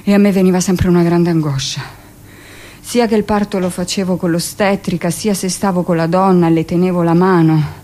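Insistent, bitter middle-aged midwife, played by an actress. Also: female voice, fairly low pitch, fairly quick, close to an everyday voice. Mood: anxious confession. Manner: insistent, expressive storytelling. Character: hardened middle-aged midwife, bitter, self-justifying, confessional. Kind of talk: theatrical radio monologue.

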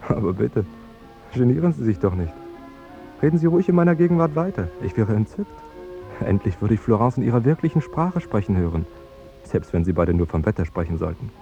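An actor playing a cheerful, guileless young fiancé who talks on with needling politeness in a 1950s radio play. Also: male voice, fairly low pitch, sing-song, a little fast, vocal fry, pauses often, warm tone. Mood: polite needling. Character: Jerome, cheerful, naively chatty young fiancé. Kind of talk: 1950s German radio drama acting. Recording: dull 1950s radio recording, music behind.

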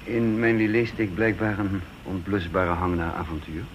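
An actor playing a guarded, earnest intelligence chief in a radio drama, musing and hesitant, on a dull, noisy old recording. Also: male voice, low-pitched, level tone, normal speed, lightly acted. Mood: dry. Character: guarded male intelligence chief, flippant facade over gravity. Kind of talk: radio drama acting with first-person narration.

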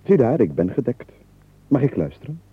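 An actor playing a rich, haughty yet amused nobleman in a comic radio play, speaking formally and emphatically with a husky voice. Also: mid-range voice, very animated, normal speed, dry recording. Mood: guarded. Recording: muffled narrow-band 1980s radio recording.

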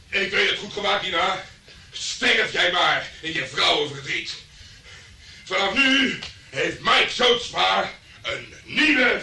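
A swaggering, womanizing private eye from a radio drama. His delivery is unhurried and swings widely in pitch. The voice is bright and forceful, full of crude bravado. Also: creaky, slight background noise, full character voice. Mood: bitter, defiant. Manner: swaggering, teasing, bragging. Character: cocky, crude, womanizing male private detective. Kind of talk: Dutch radio play acting.

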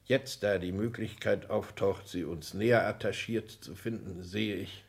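An actor playing an older, reserved and haughty count in a 1960s German radio play, speaking in formal, measured, aristocratic diction. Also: male voice, fairly low pitch, steady tone, gravelly.

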